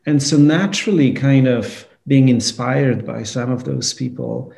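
A man's voice: mid-range voice, measured pace, creaky, mellow. A man in his forties from Poland, a priest answering interview questions in an earnest, thoughtful way. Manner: earnest, thoughtful, with many "you know"s. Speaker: priest and guest.

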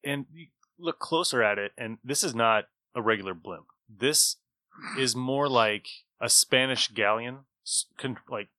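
A man's voice: mid-range voice, measured pace, pushed and bright, no room sound. A man acting as game master, narrating scenes and bantering with players in a tabletop role-playing game.

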